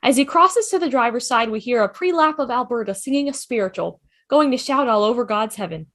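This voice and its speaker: A woman's voice: on the high side, animated, a little fast, a bit bright. A woman reading stage directions as scripted narration in a fan table read.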